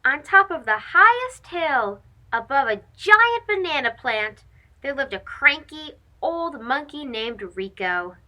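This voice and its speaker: A woman's voice: high voice, slow, poor audio. A woman hosting a children's read-aloud, narrating a storybook expressively and speaking directly to young listeners. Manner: animated, addressing young children.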